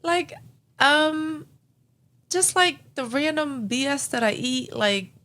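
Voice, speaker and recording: A young woman co-hosting a casual podcast, blunt and animated, swearing freely as she talks. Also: high voice, slow pace. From Cambodian-American family. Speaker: podcast co-host. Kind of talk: casual chat.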